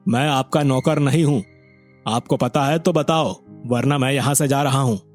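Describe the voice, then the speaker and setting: A selfish elder son from a village tale, voiced by a man, talks in a medium-pitched voice. He is impatient and arrogant, brusque and prone to complaint. Faint music runs underneath. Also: normal speed, lightly acted. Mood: irritated. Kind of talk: acted moral story dialogue.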